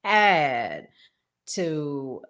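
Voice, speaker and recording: A woman's voice: deep voice, big pitch swings, very slow, fairly bright tone. A woman hosting a casual relationship talk show.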